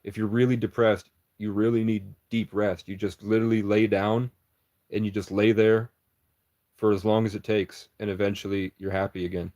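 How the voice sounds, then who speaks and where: A man's voice: fairly low pitch, level tone, moderate pace, a little mellow. A man rambling through a livestream chat in a meandering, conspiratorial monologue, often breaking off and restarting.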